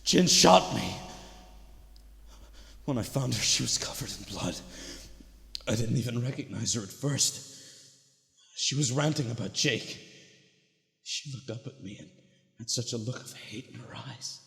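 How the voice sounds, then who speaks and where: An actor playing a weary, terrified explorer losing his mind, recording a halting log in an audio drama. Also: medium pitch, lively intonation, vocal fry, a bit bright. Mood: shaken, frightened. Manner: halting, haunted, breaks into whispers. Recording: noisy, echoing cavernous reverb.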